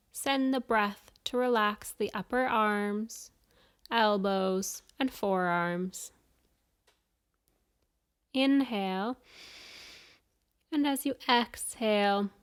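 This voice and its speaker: A woman guiding a yoga nidra meditation, giving soothing, measured instructions with pauses between phrases. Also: medium pitch, clean tone. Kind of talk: guided meditation.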